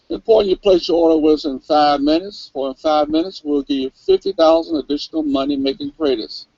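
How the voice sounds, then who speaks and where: Dude reading a sales script, super gravelly and high, dry dull sound. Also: male voice, a little mellow, no echo. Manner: brisk scripted pitch, upbeat. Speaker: co-host reading the offer. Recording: dry, dull lo-fi webcast audio.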